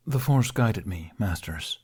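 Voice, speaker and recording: Man playing subdued, low-voiced young knight. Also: animated, unhurried, a little mellow, slightly rough. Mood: respectfully defiant. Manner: subdued, deferential, brief answers. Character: chastened young male Jedi, meek yet quietly stubborn.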